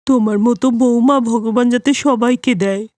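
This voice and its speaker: A woman's voice: a little high, fairly even intonation, normal speed, darkish tone, no echo, pitched below the performer's own voice, close to an everyday voice. A woman voicing a loving, anguished elderly village mother in a cartoon story. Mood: grateful.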